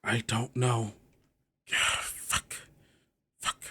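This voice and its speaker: A man's voice: mid-range voice, level tone, extremely slow, sharp tone, husky, full character voice. A man playing a stressed ship's officer in tabletop roleplay, speaking in urgent, clipped questions and swearing. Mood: frantic, urgent. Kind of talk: in-character roleplay.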